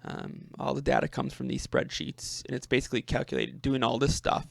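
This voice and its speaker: A man with a noticeably creaky, mid-pitched voice presents an informal software walkthrough. He talks in a halting way, as if working out each phrase aloud, and often repeats a word before going on. The recording is dry and close, with little sound of the space. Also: big pitch swings, no echo. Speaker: presenter demonstrating a personal coding project. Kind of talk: informal screen-share walkthrough.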